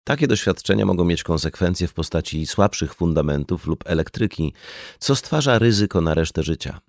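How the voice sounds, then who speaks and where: A man reading an audiobook as scripted narration, in a measured, explanatory manner. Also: low voice, gravelly, darkish tone, no echo, studio quality. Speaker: audiobook reader.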